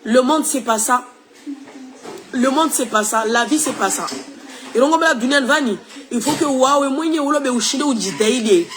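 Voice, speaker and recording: A woman's voice: sits high, moderate pace, noisy background. A woman, recovering from flu, talking casually and opinionatedly to her followers while switching between languages. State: recovering from flu, tired-sounding. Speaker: social media creator. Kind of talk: casual talk to followers, mixing in Shimaore.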